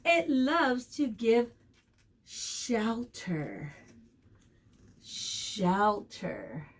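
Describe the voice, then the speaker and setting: A woman reads to young children as their teacher, in a highish, lively voice that swings up and down. She goes extremely slowly, repeating key words deliberately. She often stops to ask the children questions and encourage them. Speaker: preschool teacher. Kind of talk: storytime read-aloud lesson for young children.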